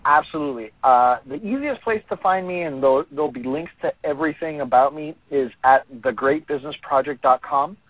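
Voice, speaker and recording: An upbeat, energetic American man speaking conversationally as a guest in a radio interview. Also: on the high side, a little fast, few pauses, dry recording, narrow, tinny audio. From Miami, United States. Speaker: entrepreneur and author, show guest.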